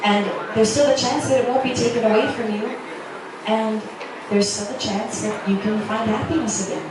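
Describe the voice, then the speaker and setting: A woman playing an earnest, wistful young medical student in a halting, sincere dramatic reading. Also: a little high, fairly even intonation, lightly acted. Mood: earnest, pained. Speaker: reader at a literary festival. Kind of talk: dramatic reading of a romance novel's dialogue. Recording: echoey, noisy room from far off.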